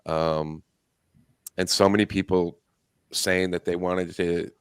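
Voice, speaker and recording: A man co-hosting a livestream, chatting casually in a relaxed, rambling way, his voice hoarse from losing it. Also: low voice, takes it slowly, no room sound, studio quality. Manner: laid-back, rambling with fillers. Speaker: livestream co-host. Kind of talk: casual chat.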